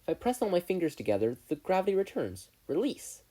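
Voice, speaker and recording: A reader voicing a bright, friendly, playful teenage girl student in a fan fiction audiobook. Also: mid-range voice, big pitch swings, fast, voice pushed up. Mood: upbeat. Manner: upbeat, earnest, playful.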